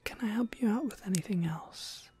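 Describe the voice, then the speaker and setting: A woman playing a friendly witch shopkeeper in an ASMR roleplay, gentle, polite and unhurried, in a breathy, husky whisper. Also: medium pitch. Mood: warmly welcoming. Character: friendly witch shopkeeper, kindly and softly welcoming.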